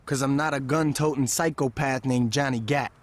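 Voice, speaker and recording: An actor playing a shrewd, impatient young street gang lieutenant in a video game, slangy and confident, with an American accent. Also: male voice, mid-range voice, fairly even intonation, moderate pace, lightly acted.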